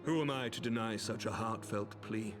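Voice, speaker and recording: Actor as a noble, measured knight commander. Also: male voice, fairly low pitch, close to an everyday voice. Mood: gracious, earnest. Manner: formal, measured, eloquent. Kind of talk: scripted video game cutscene acting. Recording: music behind the voice.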